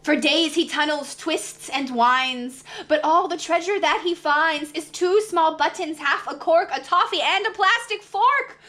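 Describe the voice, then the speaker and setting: A woman reading a rhyming children's storybook aloud as a preschool teacher, with dramatic, expressive, singsong delivery. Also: extremely high pitch, measured pace, few pauses.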